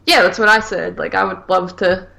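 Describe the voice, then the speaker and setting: A woman's voice: on the low side, steady tone, fairly quick, creaky, sharp tone. A woman co-hosting a podcast, chatting casually about films and saying "like" often. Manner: informal, conversational, heavy use of "like". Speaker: podcast co-host. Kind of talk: casual film review chat.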